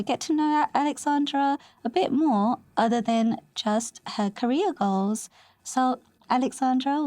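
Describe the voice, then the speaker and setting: A woman's voice: fairly high pitch, a little mellow, no room sound. A woman hosting a podcast interview, talking in an enthusiastic, effusive way. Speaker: podcast host. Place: recording in New York.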